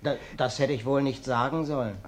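An actor playing an uncouth, sly vagrant guest who acts humble and self-pitying, in a German radio play. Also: male voice, medium pitch, fairly even intonation, a little fast, slight background noise, lightly acted. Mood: familiar, meek. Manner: plaintive, falsely humble, insistent.

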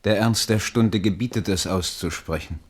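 Nüchterner, pflichtbewusster Minister des Königs. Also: male voice, lowish voice, slightly rough. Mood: admonishing. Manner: measured, formal, insistent. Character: sober, dutiful royal minister, frank with his king. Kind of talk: German radio drama acting.

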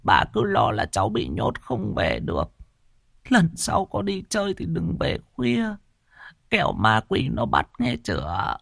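A man voicing a frail elderly woman risen from her deathbed, confused and fretful, with a thin, breathy, quavering voice. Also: mid-range voice, huge pitch swings, slow, long pauses, fairly bright tone, no echo, studio quality, voice pushed up, full character voice. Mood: anxious, tearful.

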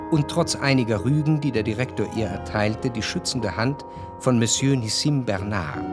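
Casting: introspective male narrator played by an actor, mid-pitched, measured literary radio drama delivery, with music underneath. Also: natural voice. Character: introspective male narrator, jealous lover recalling his past.

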